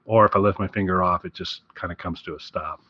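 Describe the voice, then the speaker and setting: A man's voice: low voice, fairly even intonation, fast talker, slightly rough, no echo, dull audio. A man co-hosting a podcast in loose, casual chat. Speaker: podcast co-host.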